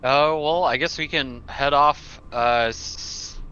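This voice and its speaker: A man's voice: on the high side, takes it slowly, sharp tone, clear, resonant tone. A man playing a tabletop game, thinking aloud hesitantly in casual talk. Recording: dull lo-fi mic, faint noise.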